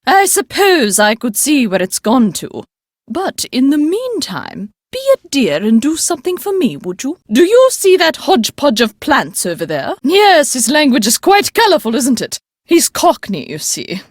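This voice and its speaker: A woman's voice: high voice, big pitch swings, normal speed, no echo, studio quality. A woman playing an elderly, upper-class English great-aunt in a video game, proper, haughty and emphatic, stern but fond. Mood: genteel, helpful. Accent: English, upper-class British. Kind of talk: video game character dialogue.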